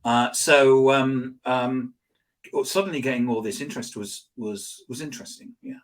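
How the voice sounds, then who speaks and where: A man being interviewed as a guest expert, telling anecdotes in a rambling, animated way with lots of restarts and fillers. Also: mid-range voice, normal speed. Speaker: guest, social selling expert. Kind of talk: conversational interview storytelling.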